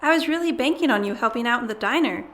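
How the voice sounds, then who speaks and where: A woman's voice: on the high side, lively intonation, fast talker, fairly bright tone. A woman playing an exasperated, earnest alien twin who manages a diner, indignant and pleading, in an audio comedy. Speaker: voice actor. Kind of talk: audio comedy drama acting.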